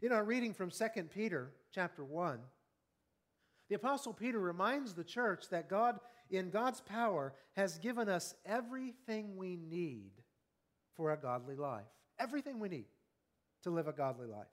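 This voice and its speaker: A man in his fifties preaching an evening sermon, earnest and conversational, often asking the congregation questions and slowing down on key words. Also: high-pitched, sing-song. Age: 50s. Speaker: pastor.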